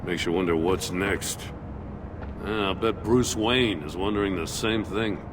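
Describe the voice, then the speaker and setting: An actor playing a weary, principled older police chief in a video game, with a gruff, world-weary conversational manner. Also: male voice, low-pitched, measured pace, noisy background. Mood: weary, wry. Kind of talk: dramatic video game acting.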